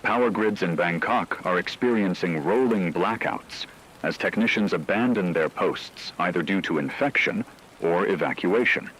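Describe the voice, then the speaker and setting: Man as grave radio anchor for apocalypse drama: low voice, clinical, formal bulletin delivery, light noise underneath. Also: normal speed, fairly bright tone, low-quality recording. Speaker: news anchor. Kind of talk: scripted breaking news radio report.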